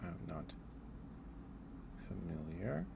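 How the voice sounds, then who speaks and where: Male ASMR livestreamer, very low register, slow rambling delivery with hesitant pauses, casual chat. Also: very animated, vocal fry, very noisy.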